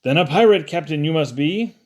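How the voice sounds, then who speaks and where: A bossy pirate captain from a children's verse, acted out by a man reading aloud. He declaims his orders with command, in a fairly high male voice. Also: expressive, a little fast, a little mellow, lightly acted.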